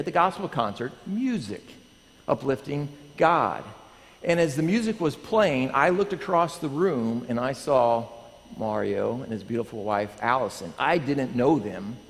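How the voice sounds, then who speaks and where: Animated man preaching in reverberant sanctuary. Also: medium pitch. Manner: animated, pacing the stage, asking the congregation. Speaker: pastor. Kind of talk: lively sermon with prayer. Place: large church sanctuary. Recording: long church hall reverb.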